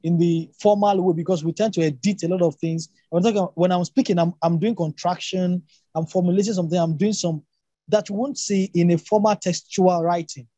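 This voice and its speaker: A man who grew up speaking Yoruba talks through ideas in an academic discussion. His voice is high for a man and mellow in tone, recorded dry and close. He is emphatic, circling back to rephrase his points. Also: steady tone, a little fast, dry recording, dull audio. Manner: emphatic, repeats and rephrases, poses rhetorical questions.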